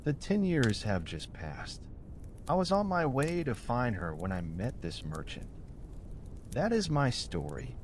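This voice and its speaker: A man's voice: mid-range voice, wildly swooping pitch, unhurried, background noise. A man voicing a merciful old man in a bedtime storybook, speaking earnestly and formally for his brothers.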